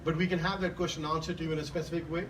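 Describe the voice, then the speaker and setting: Hesitant male moderator, higher voice. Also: little pitch movement. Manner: hesitant, halting, many restarts. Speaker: event host and moderator. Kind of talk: moderating a press Q&A. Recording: distant mic with background noise.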